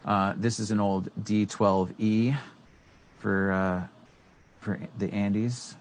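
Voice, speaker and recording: A man's voice: fairly low pitch, flat delivery, slow pace. A man in his thirties, co-hosting a casual tech talk from a small studio, explaining gear with many fillers and restarts. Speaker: co-host. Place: small studio room with green screen. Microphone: studio microphone on boom arm.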